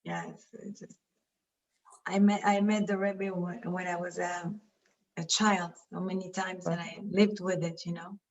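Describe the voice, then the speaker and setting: A woman with a French accent chatting on a video call, talking quickly in halting, trailing phrases. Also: lowish voice, level tone. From France. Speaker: class participant. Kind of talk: casual chat on a video call. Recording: dull lo-fi call audio.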